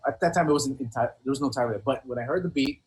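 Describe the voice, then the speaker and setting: A man talking casually as an interview guest, halting and earnest, often repeating himself. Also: mid-range voice, low-quality recording. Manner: rambling, earnest, halting, many repeated phrases. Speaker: hip-hop artist being interviewed. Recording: noticeable background noise.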